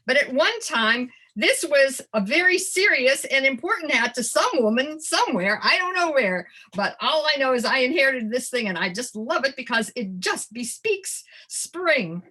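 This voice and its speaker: A woman's voice: high-pitched, sing-song, moderate pace, few pauses, pushed and bright, slightly rough. An older woman, sixties or above, an educator giving a webinar presentation, addressing her listeners directly and stressing key phrases. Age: 60s or older. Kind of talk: webinar presentation to childcare providers.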